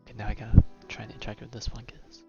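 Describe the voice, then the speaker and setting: A man's voice: lowish voice, completely flat delivery, very fast, gravelly, highs cut off, loud music behind the voice. A man giving casual livestream gameplay commentary in a muttering, self-deprecating way. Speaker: game streamer.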